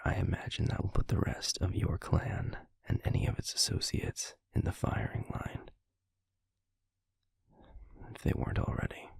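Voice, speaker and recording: Man acting a gravelly, hushed werewolf pack alpha. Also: no room sound, full character voice. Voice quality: gravelly, husky, breathy low voice. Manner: measured, deliberate, formal, hushed. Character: grave, protective male werewolf pack alpha, formal and weary. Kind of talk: audio roleplay drama acting.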